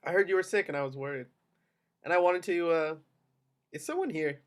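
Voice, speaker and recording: A woman acting an earnest, gently persistent male suitor in an amateur romantic comedy read-through, tentative and polite. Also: on the low side, a little fast, close to an everyday voice. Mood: tentative, concerned.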